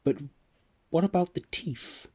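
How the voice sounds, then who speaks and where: A man voicing the Tooth Fairy, a gentle female fairy who collects teeth, speaking softly and kindly. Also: fairly high pitch, lively intonation, measured pace, slightly rough, voice pushed up.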